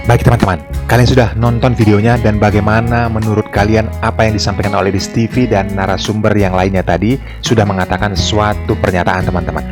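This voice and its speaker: A man closing a video by addressing viewers calmly and directly. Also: lowish voice, fairly quick, music in the background. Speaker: channel narrator.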